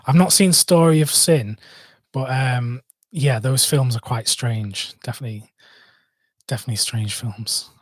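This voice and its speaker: A man's voice: medium pitch, measured pace, dry recording. Rambling Mancunian man on a live stream. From Manchester, England. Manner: rambling, hesitant, many fillers and restarts. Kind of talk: casual live stream chat answering viewers.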